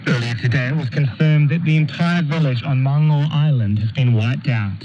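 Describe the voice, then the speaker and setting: A male reporter delivers a scripted report. His pitch is average. The shortwave reception is muffled, with clear noise over the voice. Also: moderate pace. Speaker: news reporter. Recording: noisy, dull shortwave radio reception.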